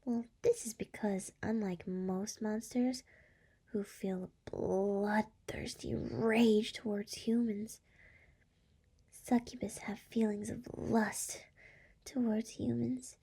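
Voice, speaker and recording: A woman voicing a seductive, scheming succubus demon lord in an ASMR roleplay, breathy and soft, whispering with a teasing purr close to the microphone. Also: mid-range voice, fairly even intonation, slow. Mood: coaxing.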